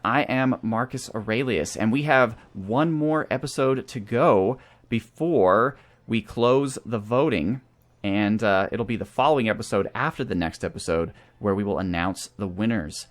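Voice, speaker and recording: A man's voice: mid-range voice, fairly bright tone. A man hosting a gaming channel, talking through a strategy game and thinking aloud as he goes. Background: video game music.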